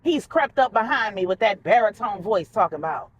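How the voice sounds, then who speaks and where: Woman acting proud, sassy, animated matriarch. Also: medium pitch, a little fast, a little hiss or hum, natural voice. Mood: indignant, wry. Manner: sassy, colloquial, scolding. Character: proud, sharp-tongued matriarch, indignant and imperious.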